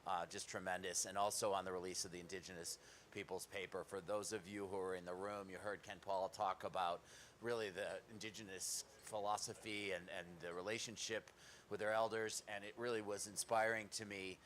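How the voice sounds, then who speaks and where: A man's voice: sits low, little pitch movement, brisk, a bit bright, slight background noise. A man from the United States speaking on a conference panel, upbeat with some fillers.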